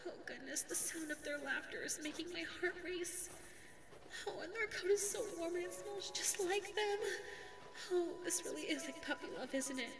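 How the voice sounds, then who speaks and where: A woman's voice: very high voice, moderate pace, music in the background. A woman voicing a sweet, flustered, lovestruck young female dog secretary in an ASMR audio roleplay, stammering and self-effacing. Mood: lovestruck, musing. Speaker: voice actor.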